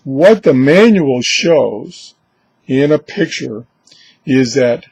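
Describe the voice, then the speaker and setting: A man's voice: medium pitch, a little mellow, husky, no echo. A man giving a casual, unscripted product review in a conversational way, musing aloud with wry asides. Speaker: product reviewer presenting a gadget.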